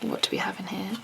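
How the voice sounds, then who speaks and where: Gushing raspy woman vlogger. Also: very high voice, rough recording. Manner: gushing, excitable, many "oh my God" and gasps. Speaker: vlogger unboxing handmade clay charms. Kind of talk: casual unboxing chat.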